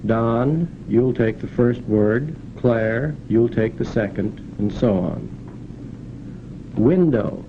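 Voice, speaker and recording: Male experimenter, calm and directive; muffled, hissy 1940s film audio. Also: mid-range voice, slow pace. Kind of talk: giving instructions and prompts.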